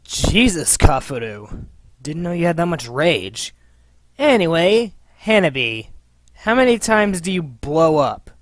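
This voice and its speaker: A woman's voice: sits low, sing-song, a little slow, no echo. A woman hosting a casual scripted Q&A in an informal, playful, sweary manner. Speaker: host and voice actor of a fan abridged series.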